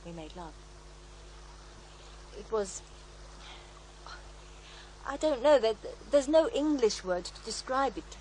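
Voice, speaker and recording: An actress playing a serene, devout faith healer in a radio drama, reflective and gently assured as she tells her story. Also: a little high, animated, slow pace, pauses often, slight background noise, rough recording. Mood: wistful. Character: serene devout female faith healer, former child therapist, wistful. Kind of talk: naturalistic radio drama acting.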